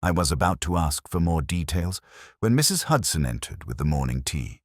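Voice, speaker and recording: A man reading a first-person detective story as its narrator, in a measured, formal, literary manner. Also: extremely low pitch, expressive, no room sound, studio quality. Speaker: audiobook narrator.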